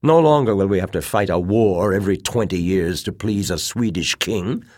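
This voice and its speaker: Man acting a fervent, scornful military aristocrat, emphatically raised pitch. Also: sing-song, a little slow, no echo, studio quality, exaggerated voice. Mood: heated conviction. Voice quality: ringing, heated delivery. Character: fervent, bitter, scornful Finnish officer, an aristocrat. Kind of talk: dramatized audiobook dialogue.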